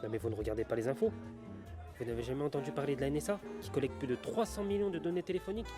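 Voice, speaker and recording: Audio drama casting: insistent, earnest male conspiracy theorist played by a man, very quick delivery, mid-pitched, speaking in a bar. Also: natural voice. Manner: earnest, urgent explaining. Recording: music and bar chatter behind.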